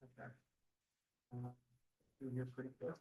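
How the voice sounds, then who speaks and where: A man giving the manager's report at a township board meeting in an even voice, with many ums and uhs. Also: mid-range voice, a little slow, recorded from a distance. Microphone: table microphone.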